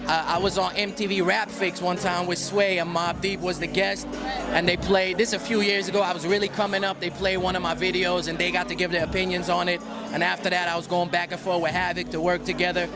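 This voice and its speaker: A young man from the Netherlands talks about his rap career in a relaxed, loose way. His voice sits fairly high but stays flat. Loud music plays behind him outdoors. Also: fairly quick. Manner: laid-back, says "you know what I'm saying" a lot. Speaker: hip hop artist. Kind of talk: promotional interview. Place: outdoor party.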